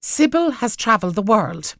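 A woman's voice: mid-range voice, sing-song, moderate pace, creaky, fairly bright tone, dry recording, studio quality, pitched above the performer's own voice. A woman reading a storybook, voicing a firm, encouraging spinster sister who is keen for adventure.

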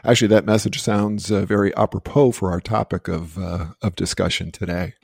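A man's voice: deep voice, steady tone, a little fast, creaky, a little mellow, husky. A man hosting a podcast and asking his guest curious questions. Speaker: podcast host. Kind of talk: podcast interview.

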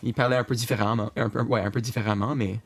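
A young man from eastern Ontario with a Franco-Ontarian accent, talking casually in an interview and often pausing with fillers and restarts. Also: mid-range voice, steady tone. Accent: Franco-Ontarian French. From Eastern Ontario, Canada. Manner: hesitant, many fillers and restarts. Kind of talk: casual recorded conversation.

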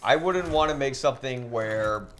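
A man co-hosting a podcast, debating casually and thinking aloud in a halting way, often repeating words. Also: mid-range voice, animated, a bit bright. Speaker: podcast co-host. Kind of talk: casual debate while gaming. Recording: clearly noisy room ambience.

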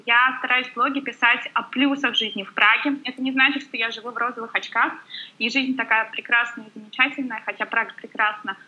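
A woman guest chatting quickly and warmly in an interview, full of filler sounds. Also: on the high side, steady tone, dull audio. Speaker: guest blogger. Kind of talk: casual interview chat.

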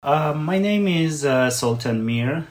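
A man in his forties from Afghanistan, a guest answering interview questions with many pauses and fillers. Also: fairly high pitch, expressive. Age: 40s.

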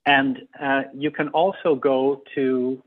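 A man's voice: medium pitch, level tone, takes it slowly. A man talking as a guest in a conversational interview, on thin, phone-like call audio. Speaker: interview guest, theater adapter.

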